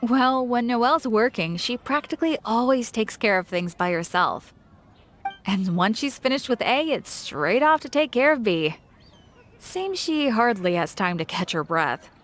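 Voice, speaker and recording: An animated, teasing shopkeeper. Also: female voice, a little high, measured pace, a little hiss or hum. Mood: friendly. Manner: teasing, chatty, knowing. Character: teasing, friendly adult woman shopkeeper. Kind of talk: anime video game voice acting.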